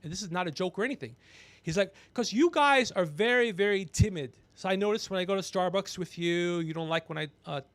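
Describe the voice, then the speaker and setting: A gregarious man hosting a podcast, telling rambling comedic stories with animated, teasing asides. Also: sits high, brisk, no room sound. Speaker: podcast host. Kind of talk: rambling comedic storytelling on a livestream.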